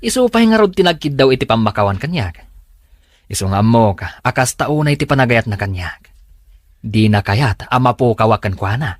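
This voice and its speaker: Man acting a cocky boyfriend with swooping pitch. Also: big pitch swings, normal speed, dry recording, clean studio sound. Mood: smug. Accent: Filipino. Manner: defensive, exasperated, self-satisfied. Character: cocky, unrepentant young womanizing boyfriend. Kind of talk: Philippine radio drama acting.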